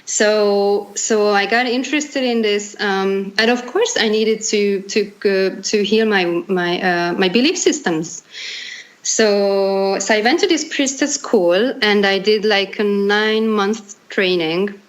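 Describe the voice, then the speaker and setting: A woman in her thirties from Hungary with a Hungarian accent, a non-native English speaker telling her story as an interview guest in an earnest, halting way with many restarts. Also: mid-range voice, muffled recording. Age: 30s. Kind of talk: casual interview chat.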